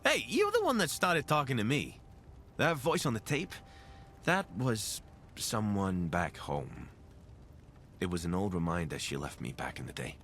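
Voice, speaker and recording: An actor playing a wry, wounded wasteland companion in a video game, speaking in a casual, hesitant way. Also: male voice, fairly low pitch, sing-song, measured pace, fairly bright tone, slight background noise, heavily acted. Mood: wistful, reflective.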